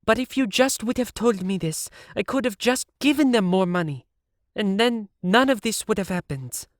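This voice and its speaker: List: man putting on a high, brash rich young woman, blunt, indignant, sounds a bit distant. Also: expressive, moderate pace, no echo, clean studio sound.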